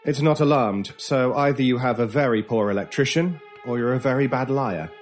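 An actor playing a cool, teasing, mysterious British stranger in a horror radio drama, with a measured, theatrical and wry way of talking. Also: male voice, medium pitch, soft background music, lightly acted. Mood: dry, mocking. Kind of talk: old time radio style horror audio drama.

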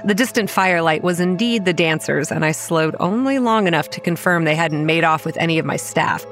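Woman acting young female horror-drama narrator, weary campground manager, low pitch, measured, wry, protective, music behind. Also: fairly bright tone, natural voice. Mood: matter-of-fact. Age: young adult. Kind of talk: scripted first-person horror audio drama narration. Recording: music under the voice.

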